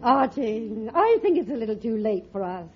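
An actress playing a genteel, coy and wary older widow in a 1940s radio comedy. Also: female voice, a little high, big pitch swings, normal speed, breathy edge. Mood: wistful, genteel. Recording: dull old radio recording, audience murmur.

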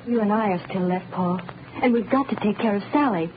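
A woman's voice: mid-range voice, brisk, lightly acted. An actress playing a devoted, worried wife and mother in an old-time radio melodrama, speaking tenderly and earnestly. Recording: dull, hissy old-time radio recording.

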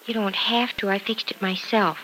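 A woman's voice: mid-range voice, fairly even intonation, moderate pace, bright, forceful tone, poor audio. An actress playing an anxious young wife in a 1950s radio soap, earnest and pleading with her husband, close to tears.

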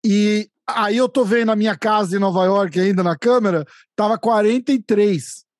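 Male host from São Paulo, Paulista accent, high for a man, enthusiastic and slangy, dry close sound. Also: moderate pace, no echo. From São Paulo, Brazil. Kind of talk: casual interview chat.

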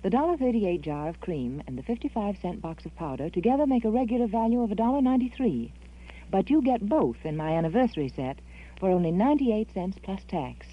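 An American woman reading a scripted 1940s radio commercial as spokeswoman, measured and emphatic, speaking directly to the listener. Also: mid-range voice. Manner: measured, emphatic, personal address. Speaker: advertising spokeswoman. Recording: dull old radio recording.

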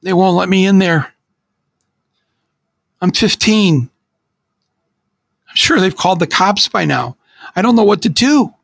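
Man voicing a crying fifteen-year-old boy, high, stammering, desperate. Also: measured pace, few pauses, slightly rough, dry recording, studio quality, heavily acted. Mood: sobbing, pleading. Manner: stammering, pleading.

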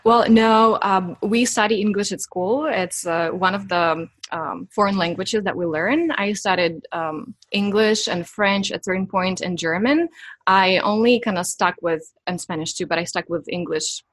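A woman's voice: medium pitch, moderate pace, a bit bright, no echo. A woman from Ukraine with a Ukrainian accent, chatting in a friendly way as a podcast guest. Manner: friendly, chatty, laughs easily. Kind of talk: casual interview chat.

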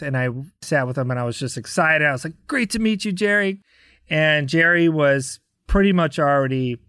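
Give me an American man with a slightly high voice and dry sound, an interview guest spinning casual animated stories. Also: clean tone, dry recording. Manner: conversational, acts out quoted dialogue. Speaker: interview guest, rock drummer.

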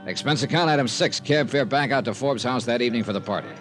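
An actor playing a hard-boiled, wary insurance investigator in a classic radio drama, with an American accent and a wry, clipped, matter-of-fact manner. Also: male voice, medium pitch, quick pace, music in the background, close to an everyday voice. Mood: wry narration. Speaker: detective narrator and lead. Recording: old radio broadcast recording.

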